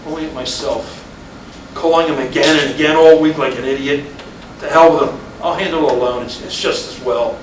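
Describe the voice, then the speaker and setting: A man's voice: on the high side, steady tone, fairly quick, close to an everyday voice. A man acting a weary, wry middle-aged policeman husband in a staged reading of a play. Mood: weary, firm. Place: indoor live reading at a college. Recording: echoey room from far off, noisy.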